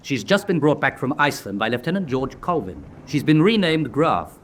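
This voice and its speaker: An actor playing a sly, resourceful captive officer in a radio drama, speaking with dry, teasing deliberation. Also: male voice, on the high side, very animated, moderate pace, fairly bright tone. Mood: conspiratorial. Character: sly, resourceful prisoner-of-war officer, dryly playful schemer. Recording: background noise and ambience.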